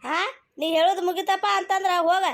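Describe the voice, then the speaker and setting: A woman's voice: extremely high pitch, fairly even intonation, fast. A woman voicing a bossy, stubborn, greedy mother-in-law in a cartoon comedy, scolding insistently with a North Karnataka accent.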